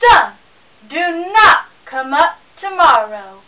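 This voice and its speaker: Woman doing a loud boastful bear. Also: expressive. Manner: booming, slow, bragging. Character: boastful big male bear, loud and proud. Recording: tinny lo-fi recording from a distance.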